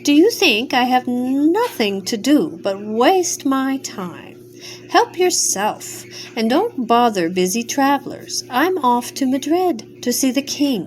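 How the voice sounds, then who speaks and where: A woman's voice: high-pitched, big pitch swings, slow pace, a little hiss or hum, full character voice. An older woman voicing a stubborn, boastful young male half-chick, haughty and dismissive. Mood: scornful.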